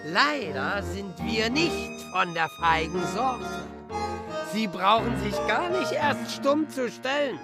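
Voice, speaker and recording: A smug, taunting woman in a radio drama, lording it over her captive. She speaks slowly and with self-assurance, with music playing loudly around her words. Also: medium pitch, expressive. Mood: mocking, triumphant. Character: smug accuser mocking and bossing a captive. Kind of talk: radio play acting.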